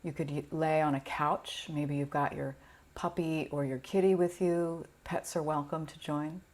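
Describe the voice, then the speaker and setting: Woman, low-pitched voice, yoga nidra teacher, guided meditation, soothing, gentle, unhurried, pausing between phrases. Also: steady tone.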